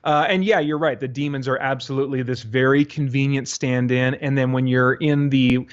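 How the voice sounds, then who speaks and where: A man being interviewed casually as a guest, speaking in a halting way as he thinks aloud. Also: mid-range voice, steady tone, smooth, muffled recording. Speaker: interview guest, audio drama creator.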